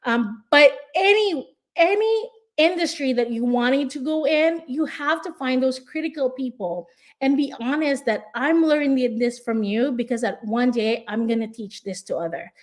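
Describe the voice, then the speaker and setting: A woman with a Filipino accent speaks in a somewhat high voice, earnest and encouraging as she gives a motivational speech. She sounds a little tired, often restarting or repeating a phrase before pressing on. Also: lively intonation, moderate pace. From Philippines. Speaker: real estate investor and coach.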